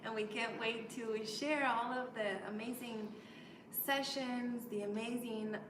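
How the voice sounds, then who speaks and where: A woman co-hosting a welcome talk, speaking in a gentle, flowing way with long, linked phrases. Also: fairly high pitch, fairly even intonation, unhurried. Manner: gentle, flowing, laughs easily. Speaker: co-host. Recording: echoey room, mic at a distance, noisy.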